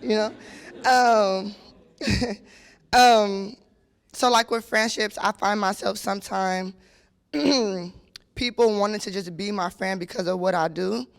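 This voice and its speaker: A hoarse woman from the audience asking a long, chatty question. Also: medium pitch, steady tone, takes it slowly, pauses often. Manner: chatty, rambling.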